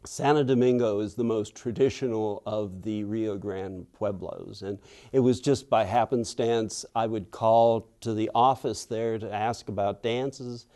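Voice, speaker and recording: A man's voice: fairly low pitch, steady tone, dark tone. An older man from Western Pennsylvania, an author telling reflective stories in an interview and acting out the voices of people he quotes. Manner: thoughtful, unhurried, acts out quoted people.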